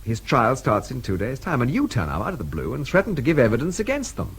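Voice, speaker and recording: A man's voice: mid-range voice, wildly swooping pitch, fast, husky, exaggerated voice. An actor playing a capable, exasperated British man with a sarcastic, clipped, superior manner in a radio drama. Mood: sardonic, brisk.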